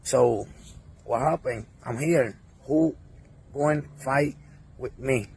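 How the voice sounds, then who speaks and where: Male boxer, very slow blunt taunts, clip with faint background hiss. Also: mid-range voice, gravelly, a little mellow. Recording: clip played with faint noise.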